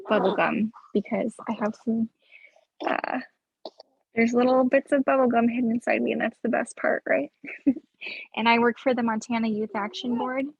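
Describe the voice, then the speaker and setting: Husky, gravelly woman on a call. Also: a little high, no echo, highs cut off. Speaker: workshop participant. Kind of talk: casual self-introduction.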